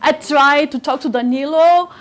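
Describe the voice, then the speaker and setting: A woman's voice: high voice, normal speed. A woman in her forties, a native Turkish speaker from Bulgaria, telling a personal story at an event with hesitant fillers and restarts. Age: 40s. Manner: hesitant, frequent fillers and restarts, laughs often.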